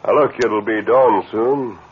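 An actor playing a calm, commanding masked cowboy hero, assured and firm, in an old radio western. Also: male voice, on the low side, a little slow, slightly rough. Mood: confident, persuasive. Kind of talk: 1940s-50s radio western acting. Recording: tinny, noisy old radio recording.

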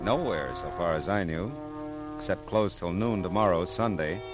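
Dry, wisecracking American detective male actor, low register, vintage radio crime show with some music underneath. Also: normal speed, lightly acted. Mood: dry narration. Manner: wry, clipped, hard-boiled. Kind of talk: 1940s-50s radio detective acting with first-person narration.

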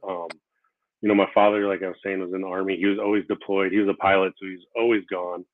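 A man hosting a casual interview show, talking in a relaxed, rambling way with many fillers; Korean was his first language. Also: low voice, quick pace. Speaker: podcast host. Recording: tinny phone-like line.

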